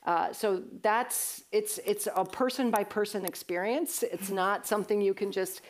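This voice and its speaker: A woman's voice: mid-range voice, moderate pace. Earnest woman panelist. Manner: earnest, conversational, frequent restarts. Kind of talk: panel discussion answering audience.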